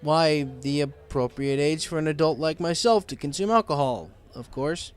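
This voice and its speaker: Man as a nervous young dragon, pitched up. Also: moderate pace, close to an everyday voice. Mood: stiff, nervous. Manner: stiffly formal, hesitant, mock-grown-up. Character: young male dragon posing as an adult, nervous.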